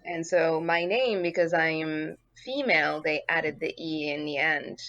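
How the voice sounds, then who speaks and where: A woman from Morocco, a congressional candidate, answering interview questions in an earnest, measured way with frequent um and uh. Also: sits low, a bit bright, no room sound, dull audio. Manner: earnest, measured, many fillers. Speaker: political candidate, guest.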